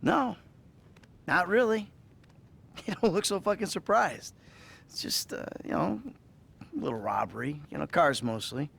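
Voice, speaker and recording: A man playing a troubled middle-aged American criminal in a video game, rambling, swearing and justifying himself in a husky voice. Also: on the high side, lively intonation, a little slow, long pauses, fairly bright tone, full character voice. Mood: evasive, defensive. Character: troubled, volatile middle-aged male career criminal confessing in therapy. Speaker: therapy patient. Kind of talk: naturalistic video game cutscene acting.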